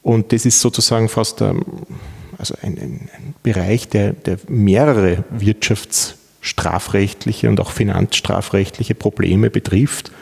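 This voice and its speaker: A man's voice: lowish voice, fairly even intonation, a little slow, creaky, a little mellow, slightly rough, in a large hall. A middle-aged man from Vienna with an Austrian accent, a law professor answering interview questions with many fillers and restarts. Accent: Viennese Austrian German.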